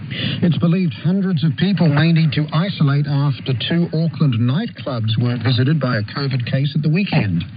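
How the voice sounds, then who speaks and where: A man's voice: a little high, moderate pace. A man with a New Zealand accent reading a scripted radio news bulletin. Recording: noisy, dull shortwave radio reception.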